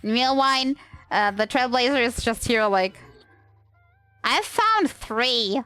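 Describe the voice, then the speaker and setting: A woman's voice: a little high, animated, slow, bright, forceful tone, smooth, no echo, faint music behind. A woman livestreaming a game with casual, rambling commentary, playful and self-deprecating.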